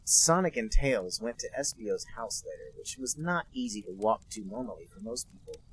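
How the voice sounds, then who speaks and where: A man's voice: medium pitch, lively intonation, fairly quick, fairly bright tone, breathy edge, rough recording. A young man, podcast co-host, narrating in a mock-serious comedic reading with dry asides.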